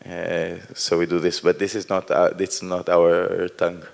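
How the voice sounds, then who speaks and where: Deep, flat-voiced man with a Hebrew first language. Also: normal speed, creaky. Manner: hesitant, searching for words with many fillers. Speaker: performer in post-show talk. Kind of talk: post-performance discussion. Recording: reverberant hall.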